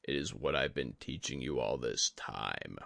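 Guy doing a solemn old tainted sorcerer teacher for a fantasy audiobook, super low n gravelly, slow n measured. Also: expressive, fairly bright tone, dry recording, studio quality, exaggerated voice. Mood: calm, stern.

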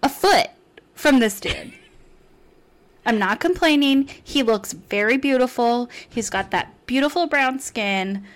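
A woman's voice: on the high side, animated, takes it slowly. A woman co-hosting a podcast in casual chat, playful and opinionated, laughing often. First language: not English. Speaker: podcast co-host.

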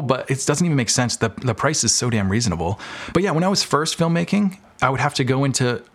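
A man talking casually as an interview guest, explaining his creative work with examples. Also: medium pitch. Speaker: podcast guest, audio drama maker and filmmaker.

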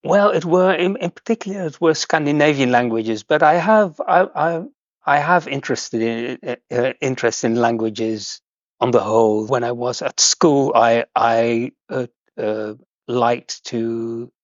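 A man from the Netherlands, a guest host, chatting casually in halting, hesitant English with many restarts. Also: mid-range voice, no echo, lo-fi sound. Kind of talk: casual chat.

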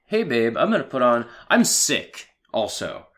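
A man with a hoarse voice from a cold, co-hosting a casual podcast chat and laughing as he talks. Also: mid-range voice, unhurried. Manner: animated, laughing, swearing. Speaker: podcast co-host.

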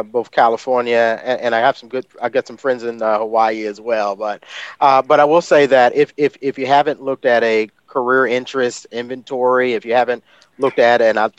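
A man's voice: mid-range voice, smooth, no echo, highs cut off. A man who is an agency director, giving advice in a rambling way with many restarts. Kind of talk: giving advice on a call-in show.

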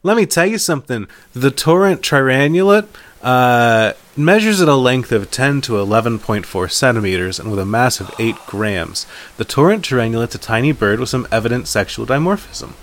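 A man's voice: mid-range voice, expressive, normal speed. A man co-hosting a podcast in casual comedic chat with a wry, dry sense of humor. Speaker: podcast co-host.

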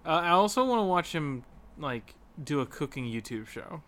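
A man's voice: on the high side, very animated, normal speed, fairly bright tone, slight background noise. A man co-hosting a podcast, chatting casually about a video game. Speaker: podcast co-host.

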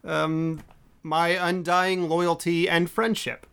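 A man's voice: on the high side, flat delivery, slow, creaky, fairly bright tone, smooth, exaggerated voice. A man acting in an audio drama as an easygoing, teasing young friend, playful and slightly hesitant.

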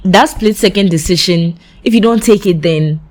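A young woman with a Ghanaian accent telling a story in casual chat, full of "like" fillers. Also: normal speed. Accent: Ghanaian English. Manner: chatty storyteller, many "like" fillers, lively swings in pitch.

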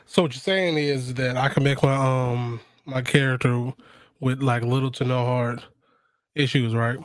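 A man with a raspy voice, chatting casually and telling stories in a wry, teasing way. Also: mid-range voice, fairly even intonation, unhurried, dry recording. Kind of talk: casual chat, joking storytelling.

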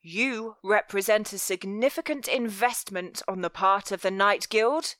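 A woman voicing a cruel, menacing male master of thieves in an audiobook, commanding and deliberate, with mock-tender threat. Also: medium pitch, a bit bright, no room sound, studio quality, natural voice. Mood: silky threat. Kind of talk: dramatic audiobook character voice.

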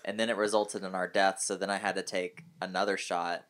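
A man's voice: deep voice, little pitch movement, fairly quick, fairly bright tone. A hungover man chatting casually and telling stories as a podcast co-host. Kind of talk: casual chat, storytelling.

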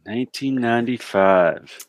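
A man's voice: medium pitch, measured pace, no echo. A man taking part in a casual podcast chat, thinking aloud in a hesitant, conversational way. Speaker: podcast guest guessing along.

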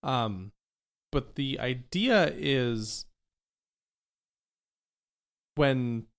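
A man's voice: medium pitch, lively intonation, very slow, clear, resonant tone. A man co-hosting a casual podcast, musing loosely through half-remembered theories and tossing in jokes. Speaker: podcast co-host. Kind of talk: casual chat.

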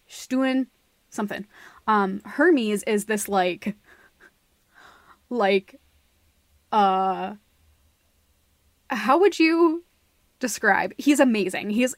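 A woman talks casually and emphatically about stage shows and audio drama in a dry recording. Her pitch is average for a woman, and she leans on repeated phrases and the odd swear word as she gushes over details. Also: takes it slowly, pauses often, no room sound. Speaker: podcast co-host. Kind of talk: casual, opinionated discussion of theatre and audio drama.

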